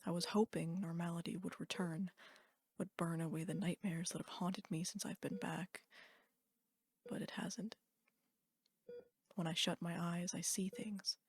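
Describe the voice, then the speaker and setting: A woman giving a dramatic reading of a first-person horror story in a breathy, whispery, deliberate voice. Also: fairly low pitch, steady tone.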